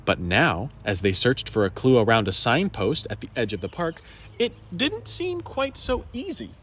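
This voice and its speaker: A man's voice: medium pitch, wildly swooping pitch, normal speed, fairly bright tone, husky. A man reading a children's story aloud with dramatic, emphatic expression. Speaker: storybook reader.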